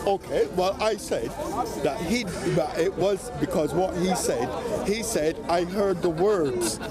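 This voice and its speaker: A man in his seventies arguing on a busy street outdoors, often halting and searching for words. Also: high voice, animated. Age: 70s. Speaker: passer-by. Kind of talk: street debate. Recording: loud street crowd noise. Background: street crowd.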